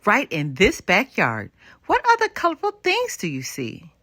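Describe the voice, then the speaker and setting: Woman voicing an encouraging, animated storybook grandmother. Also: mid-range voice, a little slow, no room sound, studio quality, pitched above the performer's own voice, full character voice. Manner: emphatic, gently teaching. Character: kindly grandmother, encouraging, wise and delighted. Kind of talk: children's storybook read-aloud.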